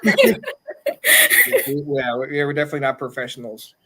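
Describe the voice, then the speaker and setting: A man with an average-pitched voice hosts a podcast. He talks in a loose, casual way, rambling and joking as he goes. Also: steady tone, fairly quick. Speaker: podcast host. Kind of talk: casual chat, closing a show.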